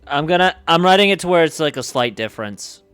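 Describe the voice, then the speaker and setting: A man livestreaming casually, thinking aloud in a halting way that moves between muttering to himself and explaining. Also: a little high, lively intonation, fairly bright tone, clear, resonant tone, faint music behind.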